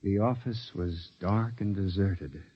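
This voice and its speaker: A man's voice: low-pitched, slow pace, slightly rough, no echo, full character voice. An American actor playing an anxious, earnest statistician who narrates his own story in a 1950s radio drama, with intense, dramatic delivery. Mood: haunted, uneasy. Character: anxious, earnest statistician clerk, unhappy and haunted. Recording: dull 1950s radio recording, music behind.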